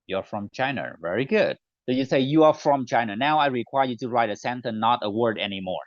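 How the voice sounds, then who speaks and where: A man with a Vietnamese accent, whose first language is Vietnamese, leading an online teacher training session and slowing down on the phrases he models. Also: medium pitch, animated, dry recording. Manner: clear, deliberate classroom delivery, slows on model phrases. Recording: dull, dry online call audio.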